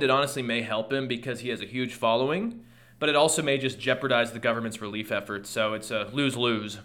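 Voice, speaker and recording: A man talks through world news on his own podcast, thinking aloud with restarts and asides. His voice is bright and forward, with an ordinary middle pitch. He is losing his voice. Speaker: podcast host. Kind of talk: solo news commentary podcast.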